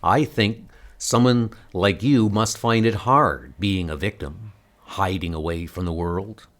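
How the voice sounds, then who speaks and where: Man playing a determined, slow-talking male superhero. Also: sits low, fairly bright tone, voice pushed down. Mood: persuasive. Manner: earnest, insistent. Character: determined, anguished male superhero searching for his niece. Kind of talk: comic book dub acting.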